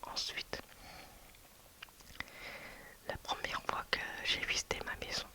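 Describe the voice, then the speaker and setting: Whispering woman telling a story. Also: slow pace. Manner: hushed, hesitant, many restarts and fillers. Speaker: video creator.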